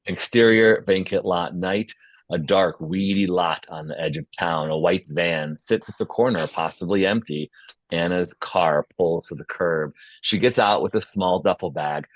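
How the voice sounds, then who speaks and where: A man's voice: sits low, unhurried, no room sound, low-quality recording. A man reading scene headings and action as scripted narration at a screenplay table read. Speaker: stage directions reader.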